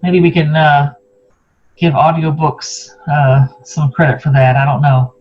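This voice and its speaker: A woman's voice: low voice, fairly even intonation, measured pace, husky. A woman hosting a casual interview, chatting in an informal, musing way. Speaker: host, interviewer. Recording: dull lo-fi sound.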